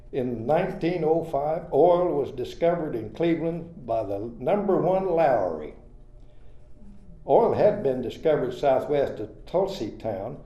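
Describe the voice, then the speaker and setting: Old guy from oklahoma reading his story at a community event, deep dark tone, roomy echo. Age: older. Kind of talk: reading a prepared personal history. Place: retirement community event room. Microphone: handheld microphone. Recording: echoey, slightly dull room recording.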